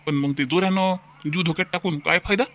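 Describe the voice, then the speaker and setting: A man's voice: on the high side, quick pace, a bit bright. A man voicing a chatty, easygoing older village father-in-law in a cartoon comedy, rambling and repeating himself in a rustic Vidarbha Marathi accent. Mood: jolly, rambling. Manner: rambling, folksy, repeats phrases.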